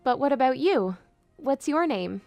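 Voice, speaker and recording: A woman's voice: high voice, lively intonation, unhurried, vocal fry, mellow, dry recording, faint music behind, exaggerated voice. A woman playing a spirited young princess in an audio drama, polite but bold in manner. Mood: friendly. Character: spirited young princess posing as a lady's maid. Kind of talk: fan audio drama acting.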